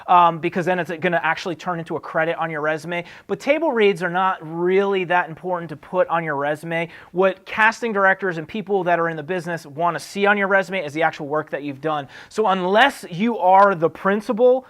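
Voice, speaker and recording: A man's voice: sits high, fairly quick, hardly any pauses, fairly bright tone. A man speaks as the host of an advice show. His delivery is casual and conversational, explaining things step by step as if talking straight to the listener. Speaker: host answering acting career questions. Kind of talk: casual advice talk to camera.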